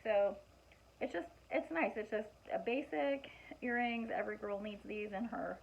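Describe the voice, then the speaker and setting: Hoarse woman in her forties, gravelly and worn, rambling vlog chat on a muffled, lo-fi recording. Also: on the high side, moderate pace. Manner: chatty, rambling, many fillers and restarts. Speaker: vlogger showing gift ideas. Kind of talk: casual show-and-tell vlog.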